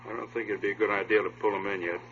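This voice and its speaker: An actor playing a brisk, hard-boiled police detective with clipped, businesslike speech in a 1950s radio drama. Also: male voice, fairly low pitch, steady tone, lightly acted. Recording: muffled old radio recording, faint noise.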